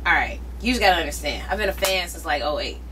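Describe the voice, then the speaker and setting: A bubbly woman hosts the interview with a bright, sharp edge to her voice, teasing her guest and gushing as a fan. Faint background noise from the tour bus sits behind her. She keeps it a casual, playful chat. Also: deep voice, expressive, fast talker, rough recording. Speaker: interviewer, radio host.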